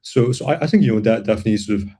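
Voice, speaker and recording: A young man from Singapore, a lawyer and software builder, explaining his views in a casual interview, with many restarts and repeated words. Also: fairly low pitch, rapid-fire, slightly rough. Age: young adult.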